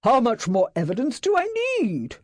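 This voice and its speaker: A woman voicing an irate gentleman who snaps and yells at his secretary. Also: medium pitch, very animated, normal speed, breathy edge, no room sound, studio quality, pitched above the performer's own voice. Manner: snapping, yelling.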